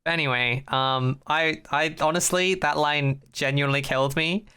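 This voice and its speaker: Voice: mid-range voice, fairly even intonation, normal speed, pushed and bright, clean tone. A podcast host, chatting in a rambling, jokey, sweary recap and mockingly acting out quotes. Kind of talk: casual rambling recap chat.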